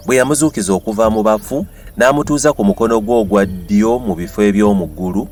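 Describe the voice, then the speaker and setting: A man's voice: fairly low pitch, a little mellow. A man with a Ugandan accent reads scripture aloud as a scripted narration. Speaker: Bible narrator. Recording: music behind the voice.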